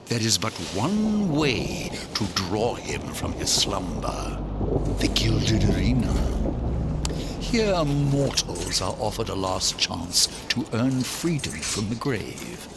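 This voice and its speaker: Slow, swooping, pompous undead chancellor. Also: male voice, lowish voice, big pitch swings, vocal fry, very noisy, heavily acted. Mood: haughty, formal. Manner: pompous, theatrical, condescending. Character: haughty, scheming undead male chancellor of dead king. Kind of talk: video game cutscene acting. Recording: loud cinematic music behind.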